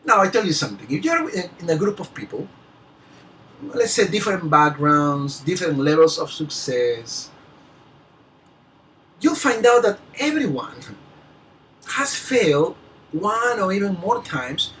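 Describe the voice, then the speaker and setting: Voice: mid-range voice, big pitch swings, measured pace, pauses often, a bit bright, recorded from a distance, low-quality recording. A motivational speaker from Peru with a Peruvian Spanish accent and Spanish as a first language. They give an earnest, emphatic speech, laughing lightly and restarting words now and then.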